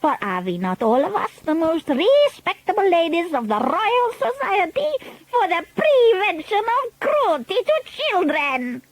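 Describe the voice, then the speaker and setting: Grand old witch villain: pompous, commanding, high and lively. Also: a little slow, hardly any pauses, exaggerated voice, low-quality recording. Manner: grandiose, broken grammar, commanding. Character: scheming, pompous old female witch leader. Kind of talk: storybook reading.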